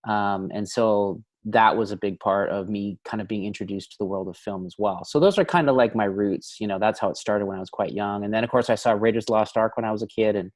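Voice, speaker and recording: A man's voice: fairly low pitch, fast talker, few pauses, a little mellow, dry recording. Dry-voiced man telling stories casually. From Canada. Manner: rambling, thinks aloud with many fillers. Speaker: film director and cinematographer, guest. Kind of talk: casual interview conversation.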